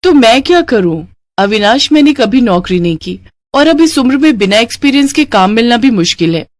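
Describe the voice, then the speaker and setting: A woman voicing a meek, kind elder daughter-in-law in a cartoon story, gentle and earnest. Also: fairly high pitch, animated, brisk, dry recording, pitched above the performer's own voice, natural voice. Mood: hurt, earnest.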